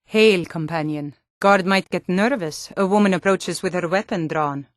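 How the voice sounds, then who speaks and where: A woman's voice: fairly low pitch, moderate pace, no room sound, studio quality, close to an everyday voice. A woman voicing a tough, blunt female Nord warrior guard in a fantasy video game, stern, taunting and combative. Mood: gruff, watchful.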